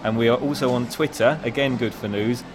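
A man's voice: mid-range voice, a little fast. A man managing a bookshop, talking politely and a little hesitantly in a casual interview. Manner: polite, slightly hesitant with fillers. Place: busy shopping centre store. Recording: noisy public space.

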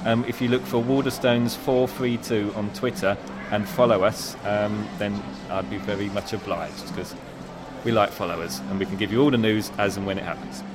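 A man's voice: fairly low pitch, steady tone, moderate pace. A man managing a bookshop, talking politely and a little hesitantly in a casual interview. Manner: polite, slightly hesitant with fillers. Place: busy shopping centre store. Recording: noisy public space.

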